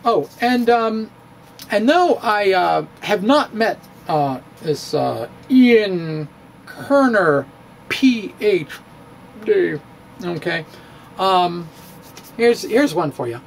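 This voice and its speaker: A middle-aged man giving a dramatic, comedic reading of a physics blog, halting and theatrical with emphatic pauses. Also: high voice, lively intonation, vocal fry, a little hiss or hum, poor audio. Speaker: blogger, stand-up physicist. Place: indoor room with bookshelves. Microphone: handheld-style microphone on stand, close.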